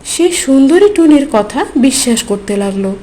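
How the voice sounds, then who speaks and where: A woman narrating a children's animal cartoon in a gentle, storytelling manner. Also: high-pitched, lively intonation, normal speed, a little hiss or hum.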